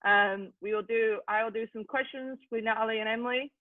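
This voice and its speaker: A woman's voice: fairly high pitch, steady tone, fairly quick, no echo. English woman coach on a tinny call. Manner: chatty, fills with "okay". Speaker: youth soccer coach, host. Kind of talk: hosting a video call Q&A. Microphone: video call. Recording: tinny, dry video call audio.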